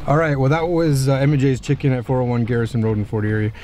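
A man's voice: mid-range voice, flat delivery, quick pace, background noise. A man in his forties from Canada, hosting a casual, upbeat food vlog from a restaurant and his car. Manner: upbeat, chatty and promotional.

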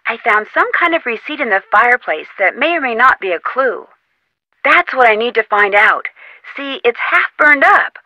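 A woman's voice: fairly high pitch, bright, forceful tone, dry recording, poor audio. An actress voicing a curious, determined young female amateur detective in a video game, asking polite, probing questions in an upbeat manner. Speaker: game character voice. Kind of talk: voiced game dialogue. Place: recording studio.

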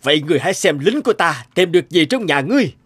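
A man's voice: fairly high pitch, sing-song, racing, a bit bright. A man voicing a stern magistrate of old Vietnam in a cartoon, formal and commanding. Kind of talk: animated cartoon acting. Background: music.

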